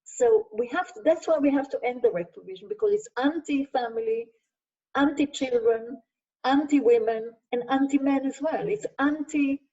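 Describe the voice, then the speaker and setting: An older woman, a Hebrew speaker, answers audience questions in earnest, stacking her points in lists. Her voice is mellow and fairly high, sitting well back from the mic. The video call audio sounds dull and lo-fi. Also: moderate pace, recorded from a distance. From Israel. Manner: earnest, emphatic lists, frequent restarts. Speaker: guest speaker, sociologist.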